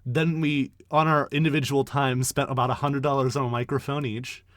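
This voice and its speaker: A man hosting a casual podcast, with a brash, low voice and a rambling way of talking full of fillers and restarts. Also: little pitch movement, fairly quick, fairly bright tone, no room sound, clean studio sound. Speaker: podcast host.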